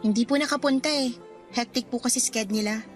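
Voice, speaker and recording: A woman as a teenage daughter with a high voice and a Filipino accent. She is dutiful and polite but sounds defensive and a little sulky, in radio drama style over music. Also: fast, close to an everyday voice. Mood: subdued. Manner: polite, defensive, subdued sulking. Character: dutiful teenage schoolgirl daughter, quietly resentful.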